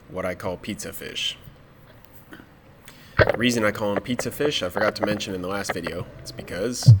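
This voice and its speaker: Deep-voiced man, stuffy nose from a cold. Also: a little hiss or hum. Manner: relaxed, matter-of-fact explaining. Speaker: spearfishing vlogger. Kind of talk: voice-over narration of dive footage.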